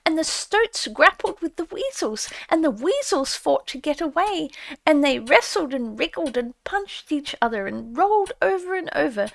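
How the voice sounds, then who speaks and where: A woman's voice: sits high, dry recording, voice pushed up, exaggerated voice. A woman doing an eager little mole. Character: eager little male mole reporting a victory.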